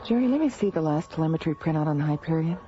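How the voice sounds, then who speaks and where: Businesslike space scientist. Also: female voice, deep voice, expressive, rapid-fire, mellow, faint music behind. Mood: serious, concerned. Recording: dull vintage radio recording.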